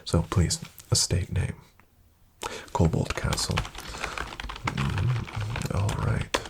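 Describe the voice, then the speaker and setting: A man playing a suave, flattering financial advisor in a soft-spoken ASMR roleplay, hushed, courteous and unhurried, often near a whisper. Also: low voice, gravelly, loud music behind the voice, exaggerated voice. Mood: impressed, flattering. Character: suave, flattering male financial advisor to wealthy elite clients.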